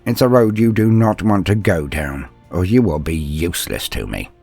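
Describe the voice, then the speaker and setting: A man playing a gruff, blunt older male inquisitor and worldly mentor in an audio story, hemming and teasing in a fatherly way. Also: sits low, expressive, moderate pace, darkish tone, soft background music, exaggerated voice. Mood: stern, philosophical. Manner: hesitant euphemisms, throat-clearing, teasing bluntness.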